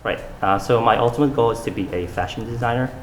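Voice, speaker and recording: A man's voice: mid-range voice, quick pace, reverberant, faint music behind. A young man from Saudi Arabia, answering interview questions in a casual, earnest way, with fillers and restarts. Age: young adult. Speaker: interview guest, fashion designer.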